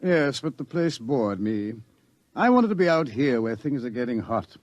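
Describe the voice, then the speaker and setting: An actor playing a candid, thrill-seeking scientist in a radio drama. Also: male voice, medium pitch, sing-song, moderate pace, darkish tone, no echo, lo-fi sound, natural voice. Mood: bored eagerness.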